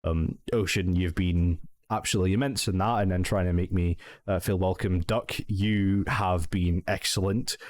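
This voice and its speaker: A man's voice: sits low, fairly even intonation, normal speed, few pauses, a little mellow, no room sound. A young Scottish man talking hesitantly and sincerely in a personal vlog update, full of restarts and thanks. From Scotland. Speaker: channel owner and roleplay group member.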